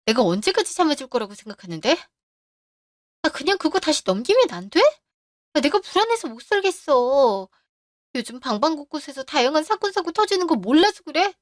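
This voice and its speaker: A woman's voice: sits high, big pitch swings, fairly quick, no echo, clean studio sound. A woman narrating a radio drama story as an anxious, pleading wife.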